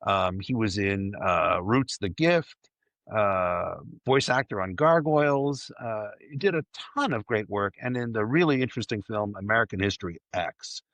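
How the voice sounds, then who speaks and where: A man's voice: on the low side, expressive, moderate pace, fairly bright tone, dry recording, dull audio. A man hosting a casual fan discussion, effusive and emphatic, often repeating words for emphasis. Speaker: podcast host.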